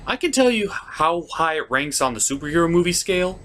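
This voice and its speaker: Opinionated male reviewer with lively intonation. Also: fairly high pitch, brisk. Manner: opinionated, sardonic. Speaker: puppet show reviewer. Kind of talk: comedic scripted film and cartoon critique.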